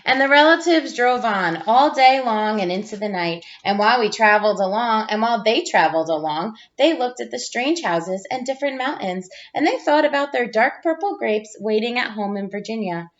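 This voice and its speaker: A woman's voice: fairly high pitch, animated, normal speed, hardly any pauses, muffled recording. A woman teacher reading a storybook aloud to pupils, expressively, drawing out phrases for emphasis.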